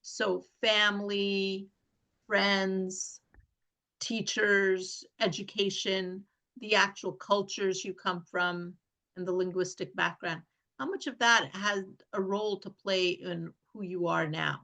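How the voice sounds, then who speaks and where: A woman hosting an interview, asking measured, probing questions. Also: medium pitch, fairly even intonation, bright, forceful tone. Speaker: interview host. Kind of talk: interview conversation.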